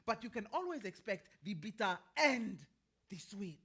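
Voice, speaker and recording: An actress plays a folksy older American woman who tends a river garden in a stage drama. Her bright, forceful voice swings widely as she meanders, pries and prods with questioning hums. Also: medium pitch, very animated, normal speed, husky, heavily acted. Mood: wise, reflective. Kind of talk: staged play reading.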